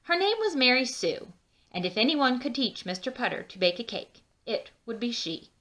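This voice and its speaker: A woman reading a children's storybook aloud with expressive, drawn-out emphasis and chatty asides. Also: fairly high pitch. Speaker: children's book read-aloud narrator.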